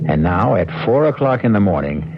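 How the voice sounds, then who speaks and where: A man hosting a 1970s radio mystery, reading scripted narration in a measured, wry, ominous manner. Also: low-pitched, big pitch swings, a little hiss or hum. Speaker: host and narrator. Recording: dull old radio broadcast. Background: loud dramatic music.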